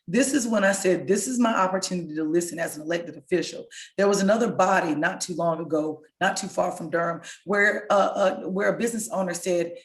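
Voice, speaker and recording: A hoarse woman, a local elected official, giving an impassioned, rallying speech over a video call. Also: lowish voice. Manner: impassioned, rallying, direct appeals to listeners. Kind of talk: rousing speech. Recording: echoey room sound.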